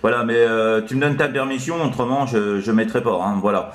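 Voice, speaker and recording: A man's voice: medium pitch, fairly quick. A man having a casual CB radio chat as an operator, rambling and hesitant, repeating little filler tags. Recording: echoey room.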